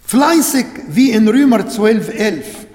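A man preaching a sermon in German that is not his first language, slowly and emphatically, repeating words and restarting phrases. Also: sits high, expressive, darkish tone. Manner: halting non-native German, repeats phrases, slow and emphatic. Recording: echoey hall with long reverb.

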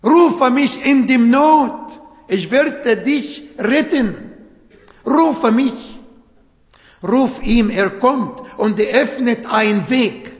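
A man's voice: extremely high pitch, extremely slow. A man whose first language is Arabic, preaching a sermon in German in an earnest, emphatic way with grammatical slips. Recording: echoey hall with long reverb.

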